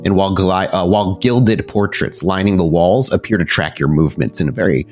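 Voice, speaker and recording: A man's voice: deep voice, brisk, faint music behind. A man working as game master, improvising descriptive, cinematic narration for a tabletop game and feeling out his words aloud.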